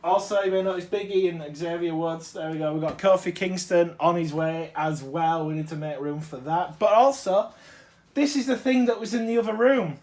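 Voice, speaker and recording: A man's voice: sits high, moderate pace, darkish tone. Man with a cold, chatty. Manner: chatty, enthusiastic, self-correcting asides. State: has a cold. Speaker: toy collector vlogger. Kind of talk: casual unboxing chat. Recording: roomy, distant mic.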